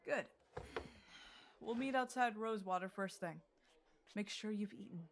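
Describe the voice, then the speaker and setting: A woman's voice: mid-range voice, unhurried, natural voice. A woman playing a curt, businesslike female adventurer for hire in a fantasy audio drama, giving terms in a matter-of-fact, commanding way. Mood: brisk, businesslike. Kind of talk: audio roleplay acting.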